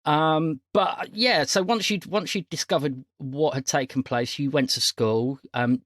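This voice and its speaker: A man's voice: on the high side, unhurried, fairly bright tone, no echo, studio quality. A man hosting a casual podcast as game master, chatting and recapping.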